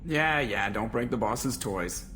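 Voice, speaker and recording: A man's voice: mid-range voice, lively intonation, slow pace, pushed and bright, a little hiss or hum. A man playing a cocky, grumbly starship pilot in a video game, with a sarcastic manner. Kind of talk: video game dialogue acting.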